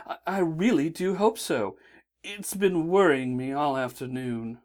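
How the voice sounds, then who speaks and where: A woman's voice: sits low, a little slow, a little mellow, voice pushed up. A woman voicing a fussy, anxious male angel who stammers when flustered, in an audiobook reading.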